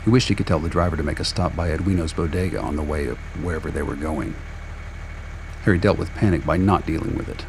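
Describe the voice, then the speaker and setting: A man's voice: extremely low pitch, fast, gravelly. A man reading scripted radio play narration in a wry, sardonic manner. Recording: faint background noise.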